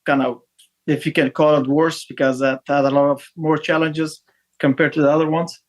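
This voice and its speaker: Guy in his 30s from afghanistan, kinda high voice, lots of uh and restarts, hesitant. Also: flat delivery, normal speed. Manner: hesitant, many fillers and restarts. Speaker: guest. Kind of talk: casual interview talk.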